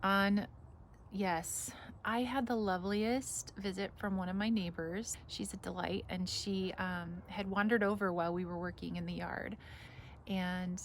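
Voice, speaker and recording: A woman's voice: medium pitch, steady tone, measured pace. A woman hosting a casual garden vlog, chatting in a rambling, enthusiastic, gushing way as she walks around outdoors. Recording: noisy outdoor ambience.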